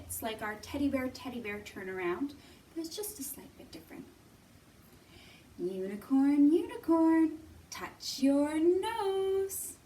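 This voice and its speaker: A woman hosting a children's storytime, reading aloud and leading action rhymes with expressive, simple phrasing for little listeners. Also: sits high, a little hiss or hum. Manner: expressive, simple instructions addressed to small children. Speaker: children's librarian and storytime host. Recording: distant microphone.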